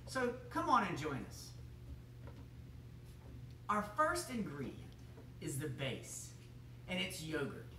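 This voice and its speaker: A man's voice: on the high side, wildly swooping pitch, slow pace, pauses often, bright, forceful tone, a little hiss or hum, full character voice. A young man playing a goofy, bumbling male TV chef who rambles, chuckles and fumbles in a home kitchen. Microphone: camera across the room. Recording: echoey room, mic from far off.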